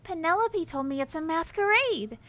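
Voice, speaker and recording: An actress playing a warm, chatty young palace worker with a romantic streak, eager and sympathetic, in an audio drama. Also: female voice, extremely high pitch, expressive, normal speed. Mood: encouraging, playful.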